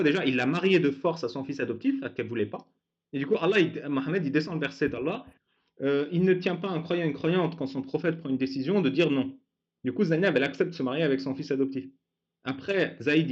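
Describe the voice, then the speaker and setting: A man hosting a casual talk, sarcastic and laughing often. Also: fairly high pitch. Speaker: host of a debate channel. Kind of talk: casual mocking chat. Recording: dull lo-fi sound.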